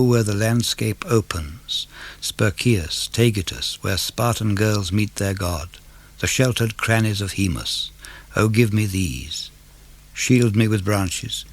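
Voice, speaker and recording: A man gives a measured, declamatory dramatic reading of classical poetry for radio. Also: on the low side, creaky. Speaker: poetry reader.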